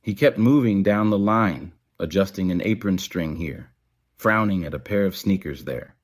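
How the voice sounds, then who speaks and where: Male narrator for scripted fiction, lower-pitched voice, storytelling delivery. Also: normal speed.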